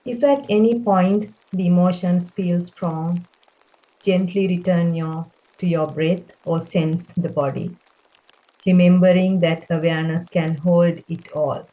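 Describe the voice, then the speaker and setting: A woman leading a guided meditation, speaking in a gentle, calming way with unhurried phrasing. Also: lowish voice, highs cut off.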